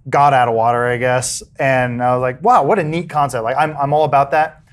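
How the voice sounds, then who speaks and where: A man's voice: medium pitch, a little fast. A young man hosting a podcast, chatting casually and jokily about a video game, often trailing off and restarting his sentences. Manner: rambling, self-interrupting, jokey. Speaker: podcast host. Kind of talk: casual chat.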